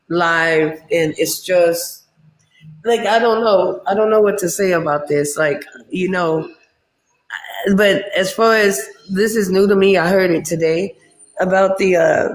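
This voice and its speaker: A man speaks as a guest on a casual live-stream panel, in an earnest, searching way with many restarts. His voice is high for a man. The recording carries an echoey room sound around him.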